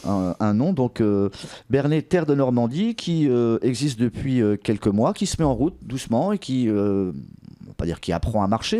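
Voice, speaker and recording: Male local radio presenter, mellow and relaxed tone, hesitant interview delivery. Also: medium pitch. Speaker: radio host interviewing a guest.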